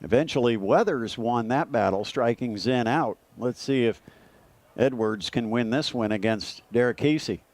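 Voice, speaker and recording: A man's voice: medium pitch, normal speed, darkish tone, no room sound. A man giving color commentary on a live baseball broadcast, analyzing the play.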